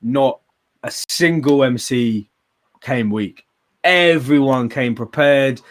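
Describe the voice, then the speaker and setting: A man's voice: mid-range voice, slow. A young man hosting a battle rap livestream, talking casually and animatedly, swearing freely and laughing often. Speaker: host of a battle rap stream.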